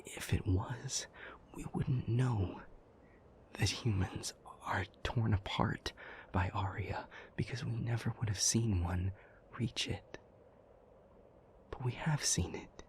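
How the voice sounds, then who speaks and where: A man playing an intense, anguished demon in an intimate audio roleplay, speaking in breathy, halting, deliberate phrases. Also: fairly low pitch. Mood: grave, pondering. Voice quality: breathy, mostly whispered. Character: intense, anguished male demon, brooding and reasoning aloud.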